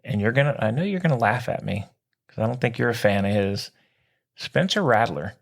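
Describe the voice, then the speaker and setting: A man's voice: mid-range voice, lively intonation, brisk, vocal fry. A man co-hosting a sports podcast, chatting casually in a folksy, jokey way with slangy exclamations. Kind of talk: casual sports chat, joking banter.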